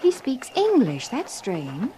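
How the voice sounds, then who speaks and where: An actress playing a prim, excitable young woman of the future in a 1950s radio drama, with a dramatic manner. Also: a little high, slow, slight background noise, heavily acted. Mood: appalled.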